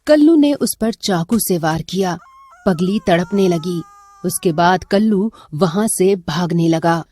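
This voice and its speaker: A woman's voice: lowish voice, measured pace, warm tone, no echo. A woman narrating a scripted dramatic story as a storyteller.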